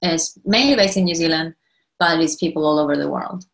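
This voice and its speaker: A woman in her thirties with an Argentine accent, giving a relaxed, thoughtful presentation over a video call. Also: deep voice, brisk, gravelly, poor audio. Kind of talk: casual online presentation.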